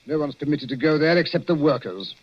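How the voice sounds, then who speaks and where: A brusque, officious army colonel in an old radio drama. His voice sits a little high, and the recording is muffled and lo-fi. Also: expressive, brisk. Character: stern older British army colonel. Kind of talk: 1940s radio acting. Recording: lo-fi 1940s radio broadcast.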